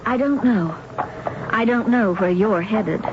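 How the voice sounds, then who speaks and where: A guarded young woman in a radio play, performed by an actress, polite and quietly anxious. Her voice has a middle pitch, heard on a dull old recording with noticeable noise. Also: a little slow, close to an everyday voice. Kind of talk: radio drama acting and narration.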